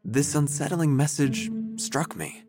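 An actor playing an earnest, wordy obituary writer who narrates an audio drama in a deliberate, deadpan way. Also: male voice, on the high side. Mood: somber. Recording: faint music behind.

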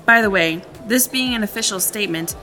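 A woman's voice: medium pitch, brisk, bright, forceful tone, faint music behind, lightly acted. An actress playing a businesslike police detective in an audio drama, formal and careful as she tactfully questions someone. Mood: businesslike, probing. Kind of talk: naturalistic audio drama dialogue.

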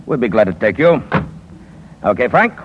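An actor playing a menacing gangster boss who feigns friendliness, in the style of 1950s radio drama. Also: male voice, sing-song, moderate pace, close to an everyday voice. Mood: smoothly menacing. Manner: falsely friendly, veiled threats. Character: menacing, smooth-talking male gangster boss posing as respectable businessman. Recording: noisy, muffled 1950s radio recording with car rumble.

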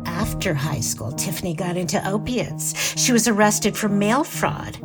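A woman acting a weary, exasperated bus company owner in a dark comedy podcast, with a dry, self-deprecating manner. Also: medium pitch, expressive, measured pace, over music, close to an everyday voice. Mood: weary, confessional. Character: weary, wronged small-town woman running a bus company.

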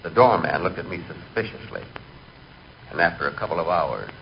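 Old hissy radio show, a nervous vain little guy with a low voice, comedy storytelling. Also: very animated, a little fast, gravelly, exaggerated voice. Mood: deadpan, confiding. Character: timid, neurotic, comically vain man narrating his misfortunes. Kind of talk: 1940s radio comedy acting and narration. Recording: muffled, hissy 1940s radio recording.

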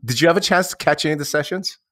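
A man's voice: a little high, racing, a bit bright. A man co-hosting a podcast in a casual, slangy and joking way. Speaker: podcast co-host.

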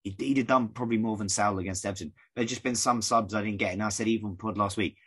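Croaky fast-talking male podcast host. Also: fairly low pitch, fairly even intonation, fairly bright tone. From United Kingdom. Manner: rambling, conversational, many fillers. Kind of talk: casual podcast chat.

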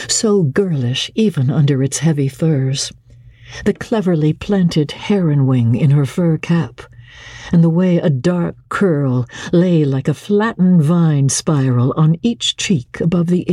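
Very husky woman reading dramatically. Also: deep voice, warm tone, dry recording, clean studio sound. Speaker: audiobook reader. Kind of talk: dramatic literary narration.

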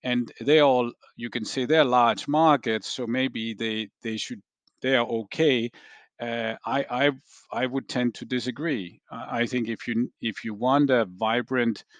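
A man from Denmark with a Danish accent explains research findings in discussion, haltingly, repeating words as he thinks. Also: medium pitch, fairly even intonation, no room sound. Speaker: research analyst, interviewee.

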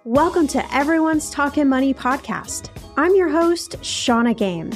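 Hoarse, froggy-voiced woman host. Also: high voice, lively intonation, unhurried, faint music behind. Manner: chatty, laughs between points. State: hoarse from a cold. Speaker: podcast host. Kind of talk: casual solo podcast talk.

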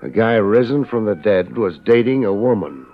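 An American actor playing a wry, laid-back but tough private investigator in a 1950s radio drama. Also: male voice, lowish voice, expressive, moderate pace. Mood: wry, watchful. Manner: laid-back, wry, hard-boiled delivery. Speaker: lead actor and narrator. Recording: muffled old radio recording, music behind.

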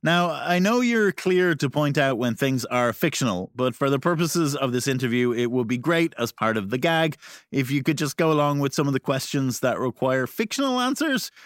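A man's voice: mid-range voice, a bit bright, dry recording, clean studio sound. A man hosting a podcast, interviewing in a casual, playful style. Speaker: podcast host.

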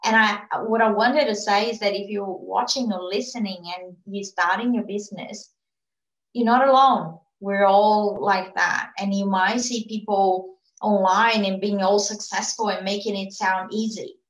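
A woman who runs a PR agency answers interview questions in a casual, earnest way, often restarting her sentences and filling pauses. English is her second language. Her voice sits in a middle range and bounces around an echoey room. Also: medium pitch, steady tone. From immigrant to Australia. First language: not English. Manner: earnest, frequent fillers and restarts. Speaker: PR agency owner, guest.

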